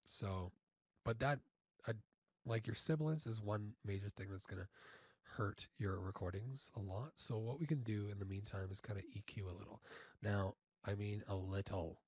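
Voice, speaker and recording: Man, husky low voice, informal tutorial instructor, halting step-by-step delivery, dry close-mic sound. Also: darkish tone, dry recording. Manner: halting, often checking in with okay. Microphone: close studio mic through audio interface.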